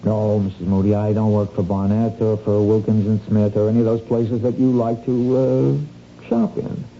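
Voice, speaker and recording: Sly blackmailing crook played by a man, low and gravelly, slangy and insinuating, radio drama on a dull recording. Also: level tone, normal speed, mellow, a little hiss or hum, close to an everyday voice. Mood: awkward, probing. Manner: hesitant, insinuating, folksy slangy talk with ain't. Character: sly, wheedling small-time crook pressing a blackmail scheme.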